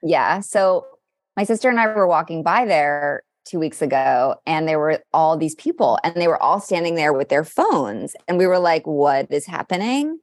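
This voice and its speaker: Female co-host, lowish voice, close dry sound, casual conversational delivery. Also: animated, moderate pace, no room sound. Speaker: podcast co-host.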